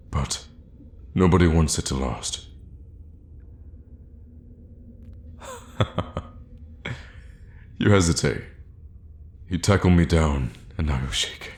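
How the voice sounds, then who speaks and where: A man playing a scheming, condescending royal guard loyal to his queen, in an intimate, theatrical fantasy ASMR roleplay with measured, pointed pauses. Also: rumbling low, a little mellow, roomy sound. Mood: resigned, defiant.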